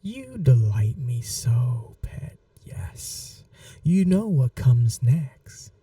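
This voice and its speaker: A possessive, seductive vampire noblewoman, acted by a woman murmurs in a very dark, velvety voice that often drops to a breathy whisper. Her delivery is slow and languid, teasing and coaxing as in an intimate ASMR roleplay. The sound is close and dry. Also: a bass voice, big pitch swings, no room sound, distant mic, heavily acted. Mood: hungry, teasing.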